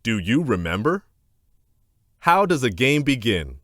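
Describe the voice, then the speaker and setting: Man reading aloud with lively, swooping intonation. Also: medium pitch, unhurried, no room sound, studio quality. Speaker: narrator reading a children's book aloud. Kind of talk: scripted educational read-aloud.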